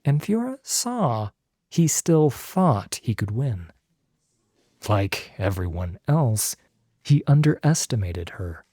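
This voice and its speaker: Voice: medium pitch, big pitch swings, slow pace, mellow, dry recording, clean studio sound. A narrator reading a scripted fantasy story in a solemn, theatrical storytelling manner.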